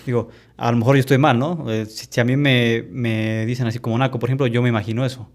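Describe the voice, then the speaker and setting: Guy cohosting, halting, lots of restarts, average pitch. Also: steady tone. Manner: hesitant, restarts words often. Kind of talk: casual chat.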